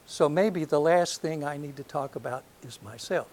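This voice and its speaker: An older man from North Alabama with a soft voice, giving a reflective lecture to an audience, hesitant and full of ums, with gentle self-deprecating humor. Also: a little high, moderate pace. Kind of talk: last lecture, reminiscence. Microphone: handheld or podium microphone.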